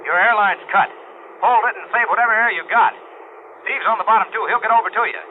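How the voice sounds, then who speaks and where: High, urgent ship's captain on old radio, played by a man. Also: fast, close to an everyday voice. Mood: urgent, alarmed. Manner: urgent, calling out in short bursts. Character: anxious male ship's captain calling down to his divers. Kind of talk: 1940s radio mystery acting. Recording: noisy, tinny old radio recording.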